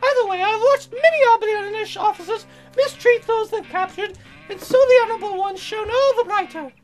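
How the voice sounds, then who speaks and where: A man voicing a courteous foreign naval officer in a very high falsetto with a put-on accent and formal, polite phrasing. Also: brisk, faint music behind, poor audio. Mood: courtly.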